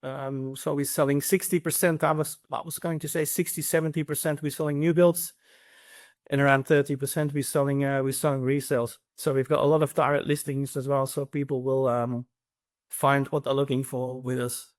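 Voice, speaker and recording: A man's voice: medium pitch, level tone, fairly quick. A middle-aged man from Belgium with a Flemish accent, answering interview questions casually with lots of ums and restarts. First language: Dutch. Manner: hesitant, many ums and restarts. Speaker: real estate agency CEO.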